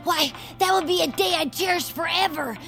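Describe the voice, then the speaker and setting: A woman voicing an eager, excitable young heroine who gushes and panics dramatically in an audio drama. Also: very high-pitched, over music. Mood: excited. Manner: gushing, dramatic, quick to panic.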